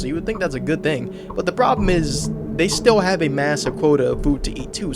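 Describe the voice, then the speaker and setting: A man's voice: a little high, heavy background noise. A man giving casual, slangy, conversational commentary in an informal, mock-incredulous way. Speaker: YouTube commentator. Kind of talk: casual conversational horror explainer narration. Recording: loud music bed under voice. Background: loud background music.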